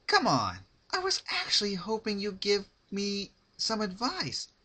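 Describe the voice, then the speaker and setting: Guy doing a childish evil girl pony from a cartoon, super squeaky and taunting, kinda bright. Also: huge pitch swings, measured pace, breathy edge, exaggerated voice. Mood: mock sympathy. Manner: sing-song, playfully taunting. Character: bubbly, childish, sadistic female cartoon party pony.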